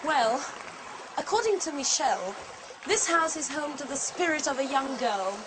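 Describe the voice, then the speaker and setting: A woman's voice: high-pitched, expressive, normal speed, noisy background. An actress playing an eager, earnest young woman in a scripted language-learning drama. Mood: excited, earnest. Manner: earnest, insistent. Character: eager young woman keen on ghost hunting.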